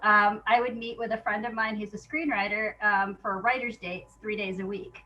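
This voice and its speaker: A woman's voice: mid-range voice, steady tone, moderate pace, bright, forceful tone, dull audio. A woman talking casually in an interview, chatty and self-deprecating in an informal way. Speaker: playwright being interviewed.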